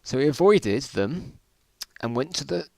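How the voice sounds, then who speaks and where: A man's voice: medium pitch, lively intonation, a little slow, creaky, darkish tone, no room sound. A man reading his own old stories aloud in a casual dramatic style, with self-mocking, bemused asides.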